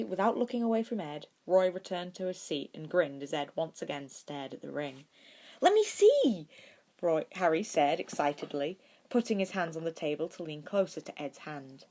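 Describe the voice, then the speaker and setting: A woman's voice: deep voice, warm tone. A woman narrating fan fiction as a podfic reader.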